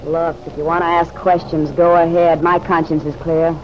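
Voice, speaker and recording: A woman's voice: sits low, flat delivery, moderate pace, lightly acted. An actress playing a tough, guarded city woman in an old radio drama, curt, slangy and defensive. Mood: defensive, irritated. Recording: dull, noisy old radio recording.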